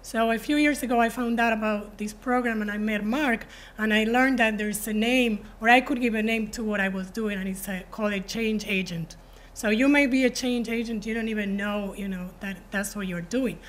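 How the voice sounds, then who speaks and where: A woman's voice: on the high side, fairly even intonation, moderate pace. A woman from Argentina speaking as an alumni panelist, telling her career story in an earnest, personal way. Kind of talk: panel introduction. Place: university event room.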